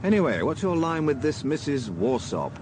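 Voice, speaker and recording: An actor playing a shrewd, educated police inspector, dry, questioning and ironic, in a radio drama. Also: male voice, fairly high pitch, sing-song, normal speed, noisy background. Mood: wry, probing.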